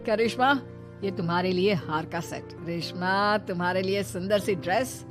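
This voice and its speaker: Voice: medium pitch, fairly even intonation, moderate pace, over music, natural voice. A performer playing a protective, authoritative elder brother in a family story. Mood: kindly.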